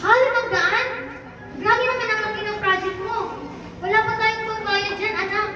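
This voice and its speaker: A woman playing a long-suffering, apologetic seamstress mother who pleads gently in an amateur radio drama. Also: extremely high pitch, steady tone, moderate pace. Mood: apologetic pleading. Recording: echoey, distant, noisy amateur recording.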